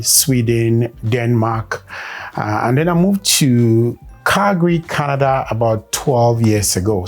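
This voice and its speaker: A man from Cameroon, a performance consultant and coach, giving measured interview answers with many pauses and fillers. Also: medium pitch, a little mellow.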